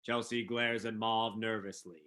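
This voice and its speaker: A man's voice: fairly low pitch, level tone, measured pace, bright, forceful tone. A man reading scripted narration and stage directions for a dramatic reading.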